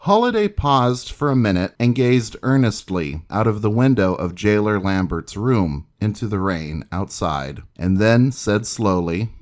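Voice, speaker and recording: Deep male narrator with very lively intonation. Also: a little slow, hardly any pauses. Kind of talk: scripted history narration and dramatic newspaper reading.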